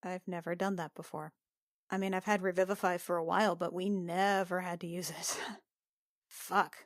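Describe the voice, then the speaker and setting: A woman playing an earnest, shaken female healer in a tabletop game, talking in a halting, insistent, anxious way. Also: on the low side, level tone, dry recording, clean studio sound, lightly acted.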